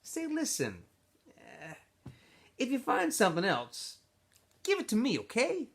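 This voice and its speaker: A man's voice: very high voice, huge pitch swings, unhurried, vocal fry, a bit bright, breathy edge, voice pushed up, exaggerated voice. A man voicing a pushy teenage boy who leads his group, coaxing and insisting in a storybook read-aloud. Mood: cajoling, commanding. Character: pushy teenage boy, small self-assured group leader, wheedling.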